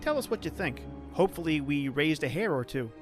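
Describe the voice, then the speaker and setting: A man's voice: fairly high pitch, sing-song, fairly quick. A man hosting a podcast, reading a scripted outro in an upbeat, announcer-like way. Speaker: podcast host. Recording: music behind the voice.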